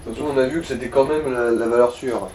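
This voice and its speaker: Guy chatting over a let's play, mellow voice, crude slangy tips, faint noise behind. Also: medium pitch, steady tone, fast talker. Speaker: let's play co-commentator advising the player. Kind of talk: casual gaming commentary, slangy chat.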